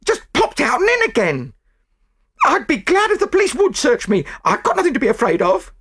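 Shrill, indignant young chambermaid. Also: male voice, wildly swooping pitch, a little fast, a bit bright, heavily acted. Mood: angry, defiant. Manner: working-class colloquial grammar, combative. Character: indignant young English chambermaid, angry and defiant.

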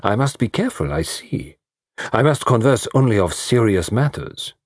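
A man voicing a polite, dapper detective with a deliberate, formal, gently teasing manner in an audiobook. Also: mid-range voice, expressive, husky, no echo, clean studio sound, voice pushed up. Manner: courteous, deliberate, formal inverted phrasing.

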